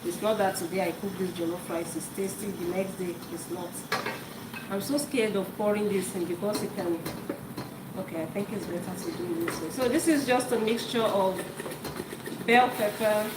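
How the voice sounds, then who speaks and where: A woman whose first language is Yoruba, hosting a live cooking stream and talking casually while she cooks in her home kitchen. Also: mid-range voice. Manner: chatty, repeats words, talks while cooking. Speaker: cooking show host. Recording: echoey kitchen with loud noise.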